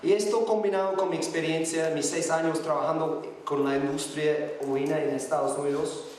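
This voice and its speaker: A man's voice: fairly high pitch, fairly even intonation, a little mellow, recorded from a distance, a little hiss or hum. Hesitant man in an echoey hall. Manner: hesitant, halting non-native Spanish. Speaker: guest speaker. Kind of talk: conference talk, partly read from notes. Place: large conference hall.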